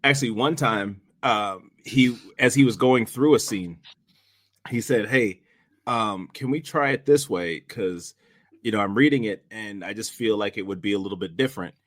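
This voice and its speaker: Low-voiced man chatting casually. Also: a bit bright, no room sound, clean studio sound. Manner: halting, rambling, repeats himself. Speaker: director and podcast host. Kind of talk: casual behind-the-scenes chat.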